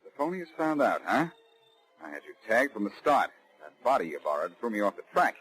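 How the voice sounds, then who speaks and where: An actor playing a cool, wisecracking tough amateur sleuth in a 1940s radio crime drama, with clipped, taunting delivery. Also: male voice, lowish voice, very animated, brisk, a bit bright, husky, faint music behind, full character voice, rough recording. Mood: dry, grim. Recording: restored 1940s radio broadcast.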